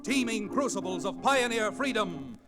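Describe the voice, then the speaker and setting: High-pitched dramatic vintage radio announcer, male. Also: expressive, moderate pace. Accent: American. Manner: dramatic, declamatory. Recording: restored vintage radio recording. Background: loud theme music.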